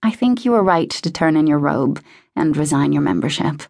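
Audiobook reader doing a polite patient innkeeper lady, mild and gentle, bit creaky. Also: deep voice, expressive, normal speed, dry recording, clean studio sound, heavily acted. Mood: gently firm.

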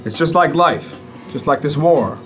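A cocky American air force captain, played by a man, with a fairly high male voice. He swaggers through folksy wisecracks, with music running underneath the radio drama. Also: animated, moderate pace, close to an everyday voice. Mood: insistent. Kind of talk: audio drama acting. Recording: music behind the voice.

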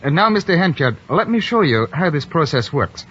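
An actor playing a courteous, modest young newcomer, earnest and a little hesitant, in vintage radio drama style. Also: male voice, fairly high pitch, sing-song, brisk. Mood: polite, eager. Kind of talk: 1940s radio acting. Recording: muffled vintage radio recording.

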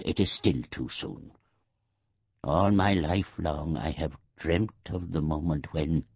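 A bedridden old man near death, narrating a literary audiobook. The voice sits extremely low and crackles with gravel and husk. He ruminates in short, halting phrases, wry and quibbling, on a slightly muffled, dry recording. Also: dry recording, heavily acted. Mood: brooding, resolved. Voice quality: gravelly, husky. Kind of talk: scripted audiobook narration, first-person literary monologue.

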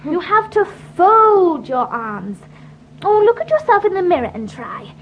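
A woman's voice: extremely high pitch, big pitch swings, unhurried, highs cut off, a little hiss or hum, exaggerated voice. An actress playing an eager, imaginative little girl in an audiobook drama. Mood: playful.

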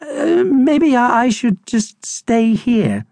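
Nervous little hamster sidekick, male, done by a storybook narrator. High voice, fretful, drawn-out delivery. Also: lively intonation, darkish tone, no echo. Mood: timid, hesitant. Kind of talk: storybook character reading.

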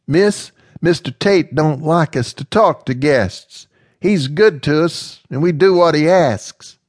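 A man's voice: a little high, slow, a little mellow, dry recording, studio quality, pitched above the performer's own voice. A man voicing a young woman who is polite and guarded. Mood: wary.

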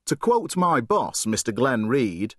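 A man's voice: medium pitch, slow pace, dry recording, studio quality. A man voicing an amused middle-aged father who teases his son. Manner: teasing, relaxed.

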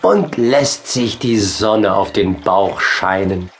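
A man narrating a children's audiobook in an expressive storytelling style. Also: lowish voice, takes it slowly, slight background noise.

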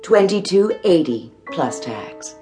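Low, unhurried, eerie saleswoman. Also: big pitch swings, dark tone, faint music behind. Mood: smooth, coy. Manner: measured, suave, coy, evasive. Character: eerily knowing, poised, courteous female sales clerk. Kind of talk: radio drama acting.

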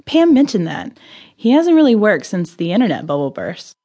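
An actress playing a breezy, irreverent amateur sleuth who loves gossip, wry and chatty in an audio drama. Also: female voice, mid-range voice, very animated, normal speed, warm tone. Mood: gossipy.

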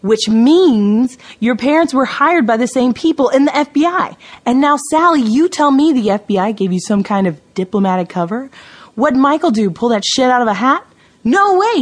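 A woman's voice: fairly high pitch, very animated, normal speed. Brash wisecracking woman sleuth, played by an actress. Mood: confident, explaining. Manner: sarcastic, blunt, self-assured. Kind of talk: audio drama dialogue.

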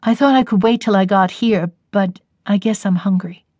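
A woman voicing a grave, weary young woman who speaks earnestly and quietly. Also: medium pitch, moderate pace, dry recording, lightly acted. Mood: weak.

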